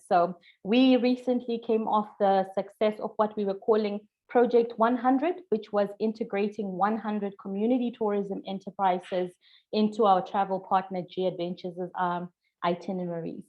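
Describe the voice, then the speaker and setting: Chatty woman presenting on a webinar, lots of ums, kinda muffled call audio. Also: mid-range voice. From Zimbabwe. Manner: upbeat, chatty, filler-heavy. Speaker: programs manager presenting. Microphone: video call. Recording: dull lo-fi video call audio.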